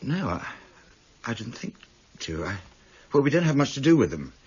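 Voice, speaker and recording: An actor playing an anxious, hesitant hospital doctor with a husky voice in a radio drama. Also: male voice, medium pitch, sing-song, normal speed, darkish tone. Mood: tense, hesitant. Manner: hesitant, agitated. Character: anxious hospital doctor, fiancé of a missing woman. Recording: dull, slightly muffled radio recording.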